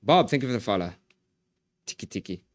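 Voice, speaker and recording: Brief: male streamer, low voice, dry desk mic, easygoing chat replies. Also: animated, a little fast, no room sound. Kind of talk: casual live-stream chat.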